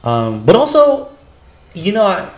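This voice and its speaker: A man's voice: sits high, wildly swooping pitch, unhurried. A man hosting a casual podcast chat, effusive and repetitive, with plenty of slang and swearing. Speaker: podcast host. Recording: echoey room, clear background noise.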